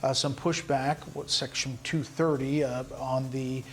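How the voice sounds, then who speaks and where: A man's voice: mid-range voice, fairly even intonation, measured pace. A man reporting live news as a television correspondent, partly reading and partly speaking off the cuff. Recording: news clip with faint background noise.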